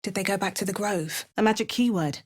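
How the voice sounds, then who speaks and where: A woman playing an adventurous, wry and watchful spellcaster in a video game, with crisp, measured delivery. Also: mid-range voice, natural voice. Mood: watchful, musing. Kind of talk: scripted video game character lines.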